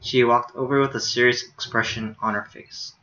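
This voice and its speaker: A man's voice: medium pitch, steady tone, moderate pace, bright, forceful tone, dull audio. A young man streaming, casually reading a visual novel aloud with offhand asides.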